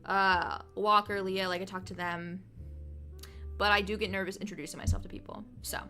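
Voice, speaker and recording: A woman in her twenties chatting on a livestream, telling excited stories in a casual, rambling way. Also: mid-range voice, moderate pace. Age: 20s.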